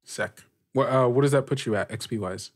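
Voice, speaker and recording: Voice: medium pitch, a little fast. A game master hosting a tabletop session in an informal, slangy, encouraging way, chatting casually with the players.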